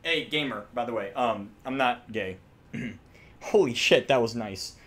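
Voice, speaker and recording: A young man doing comedic reaction commentary on game voice lines, excitable and crude, exaggerating and swearing freely. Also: mid-range voice, measured pace, slightly rough. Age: young adult. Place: small room indoors, wall covered in drawings. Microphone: desk microphone close by.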